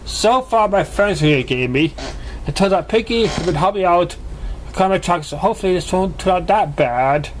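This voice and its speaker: A man's voice: high-pitched, lively intonation, normal speed, slight background noise, pitched above the performer's own voice. A man voicing an anxious, earnest young orphan pegasus filly in a story reading. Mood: nervous, hopeful.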